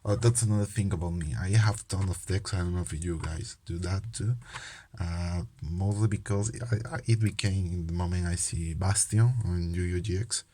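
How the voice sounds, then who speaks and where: A man's voice: low-pitched, steady tone, normal speed, mellow. A young man from Peru with a Peruvian Spanish accent and Spanish as his first language, hosting his channel in a casual, hesitant way with many fillers and restarts. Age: young adult. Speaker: channel host, medical student. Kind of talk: casual card game video talk.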